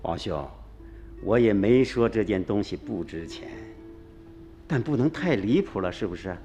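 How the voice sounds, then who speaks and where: A wary, shrewd relic dealer, played by a man in a radio play, bargaining in a lowish voice. He sounds cautious and wheedling, with faint music underneath. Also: lively intonation, normal speed, mellow. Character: wary, shrewd male antiques dealer, middle-aged. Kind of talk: radio drama acting.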